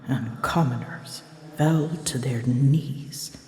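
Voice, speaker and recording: A woman voicing a prickly, wounded female dragon in an intimate ASMR roleplay, speaking haltingly and slipping into whispers. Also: rumbling low, mellow. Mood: haunted, confiding. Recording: roomy long reverb, faint ambient noise.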